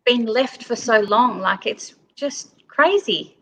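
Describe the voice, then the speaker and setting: A woman's voice: on the high side, lively intonation, slow, fairly bright tone, low-quality recording. A woman speaking as a guest in a casual discussion, earnest and conversational. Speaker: guest reader.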